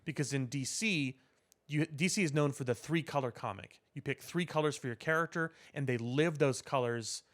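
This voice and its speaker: A man with an average-pitched voice talks as a podcast co-host in a casual discussion. He is opinionated and lays out his reasoning at length, in a conversational way. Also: moderate pace. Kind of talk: casual pop culture discussion.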